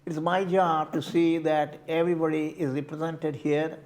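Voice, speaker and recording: A man's voice: on the high side, little pitch movement, darkish tone. Older man with an Indian accent. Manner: halting, repeats words while searching for them. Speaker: community board candidate. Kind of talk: interview answers.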